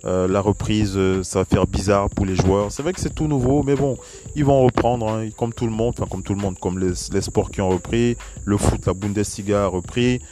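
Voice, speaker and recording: A man's voice: lowish voice, steady tone, normal speed. A man hosting a solo basketball news podcast, talking casually with many fillers and restarts. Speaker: podcast host. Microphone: voice message recording.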